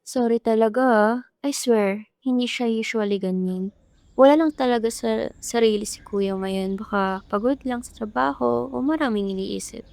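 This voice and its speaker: A woman's voice: on the high side, normal speed, gravelly, a little mellow. A woman with a Filipino accent playing a sweet, caring younger sister in an amateur radio drama. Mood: apologetic.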